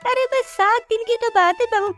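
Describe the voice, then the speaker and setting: Lady doing a caring older saas in a cartoon story, really high voice, coaxing and admonishing, bit of music under it. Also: normal speed, fairly bright tone. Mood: firm, coaxing. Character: older mother-in-law, caring, concerned, gently scheming matriarch. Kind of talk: scripted moral story cartoon dialogue. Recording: music behind the voice.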